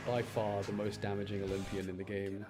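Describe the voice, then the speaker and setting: A man giving casual gameplay commentary in an excitable way, repeating phrases for emphasis as he shows clips. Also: lowish voice, a little fast, music in the background. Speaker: gamer showing gameplay clips.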